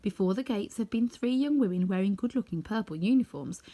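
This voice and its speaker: A woman reading a children's story aloud as scripted, expressive narration. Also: medium pitch, fairly quick. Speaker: storybook reader.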